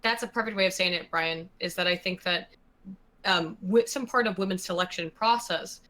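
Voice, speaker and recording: A woman's voice: on the low side, moderate pace, sharp tone. Emphatic woman co-host. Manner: emphatic, listing points. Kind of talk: casual podcast chat.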